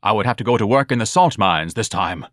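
A man's voice: on the low side, lively intonation, brisk, a bit bright, no room sound, clean studio sound. A man voicing a pompous, self-pitying grown son in an audiobook, declaiming in florid, haughty phrases. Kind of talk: audiobook character dialogue.

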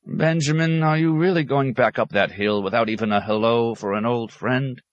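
A man's voice: medium pitch, moderate pace, no echo, dull audio, pitched above the performer's own voice, lightly acted. A man voicing a good-humoured elderly abbot in an audiobook, chiding in a familiar, mock-stern way. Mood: pleading. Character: elderly abbot, good-humoured, exasperated old friend of hermit.

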